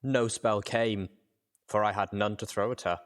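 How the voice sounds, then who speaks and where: Low voiced guy doing dramatic audiobook narration, first person story. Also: fairly even intonation, a little slow.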